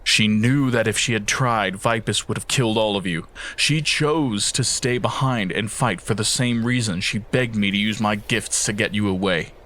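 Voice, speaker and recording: A man playing a weary, sorrowful immortal mystic in an audio drama, speaking in a solemn, measured way. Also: lowish voice, fairly bright tone, close to an everyday voice. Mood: solemn, patient. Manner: slow, solemn, measured, sighing. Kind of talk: fan audio drama acting.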